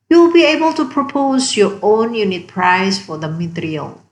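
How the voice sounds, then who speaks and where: A woman reading a scripted instructional narration in a measured, explanatory manner. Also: medium pitch. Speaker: tutorial narrator.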